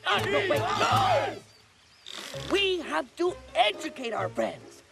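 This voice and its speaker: A very high, slow-talking cartoon wild man of the jungle. He is dim, good-natured and childishly dramatic, with pitch that leaps about over loud music. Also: wildly swooping pitch, gravelly, a loud crowd, full character voice. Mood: earnest, declaiming. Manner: childlike, third-person speech, dramatic. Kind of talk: animated cartoon voice acting.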